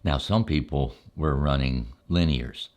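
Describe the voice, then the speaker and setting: An older man with an exceptionally deep, low voice tells stories from memory for ASMR. He is relaxed and unscripted, taking his time and often pausing partway through a sentence before carrying on. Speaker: ASMR storyteller, channel host.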